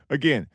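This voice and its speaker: A man hosting his own podcast in casual, blunt commentary, repeating himself, with a hoarse, strained voice as he loses it. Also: fairly bright tone, no room sound, clean studio sound. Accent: American. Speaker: podcast host.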